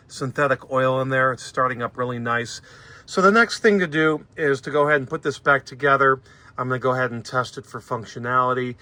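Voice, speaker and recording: A man casually talking through a tape machine repair at his workbench, plain and unhurried, with fillers. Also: medium pitch, bright, forceful tone. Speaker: repair vlogger. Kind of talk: casual workshop narration.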